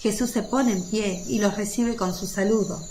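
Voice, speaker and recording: A woman's voice: mid-range voice, moderate pace, darkish tone, low-quality recording. A woman narrating a religious radio drama in a descriptive, literary reading style. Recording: faint background noise of birds and crickets.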